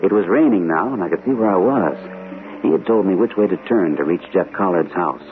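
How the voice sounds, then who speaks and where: An actor playing a polite, shaken army captain, speaking haltingly in an old-fashioned radio drama. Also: male voice, low-pitched, animated, soft background music. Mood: subdued narration. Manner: hesitant, halting. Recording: tinny, noisy old radio broadcast.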